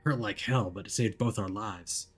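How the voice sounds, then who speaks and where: A man voicing a stubborn, hard-bitten male detective in a visual novel, with a gruff, terse delivery. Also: fairly low pitch, moderate pace, soft background music, close to an everyday voice. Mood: defiant. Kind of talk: visual novel character reading.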